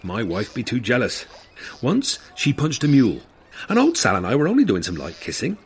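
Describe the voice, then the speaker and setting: A gruff old-timey street character for a comedy audio drama. His voice is gravelly and rough, swinging wildly in pitch as he blusters in folksy, antique dialect. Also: huge pitch swings, a little fast, exaggerated voice. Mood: grumbling, defensive. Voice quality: gravelly, raspy.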